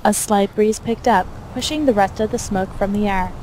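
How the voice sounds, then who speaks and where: A woman's voice: medium pitch, moderate pace, a little mellow, a little hiss or hum, low-quality recording. A woman reading scripted fiction aloud as the narrator of an action fantasy story.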